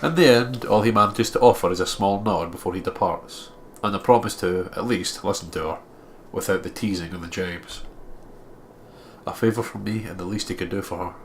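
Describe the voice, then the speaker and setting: A man's voice: low-pitched, a little fast, a little hiss or hum. A man with a blocked, nasal voice doing narration for a visual novel let's play. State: congested.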